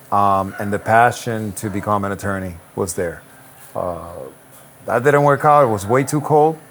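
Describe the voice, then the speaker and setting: A man's voice: fairly low pitch, slight background noise. Easygoing man in his forties. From Puerto Rico. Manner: easygoing, reflective, frequent restarts and "um". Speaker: luxury real estate broker, guest. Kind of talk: conversational interview answers.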